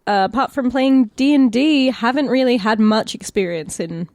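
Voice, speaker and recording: A woman's voice: fairly high pitch, moderate pace, darkish tone, no room sound, clean studio sound. A young adult woman with an Australian accent in casual podcast conversation, thinking aloud in loose, informal phrasing. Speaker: podcast co-host, actor.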